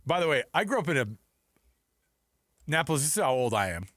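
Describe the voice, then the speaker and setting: A man's voice: on the high side, quick pace, no room sound, clean studio sound. A middle-aged man hosting sports radio, chatting casually and telling stories in a jokey way. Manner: jokey, animated storytelling. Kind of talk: casual chat. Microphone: close studio microphone.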